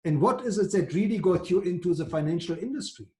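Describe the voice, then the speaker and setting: A man's voice: sits high, animated, quick pace, darkish tone. A man hosting an interview, asking short, polite questions. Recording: roomy echo.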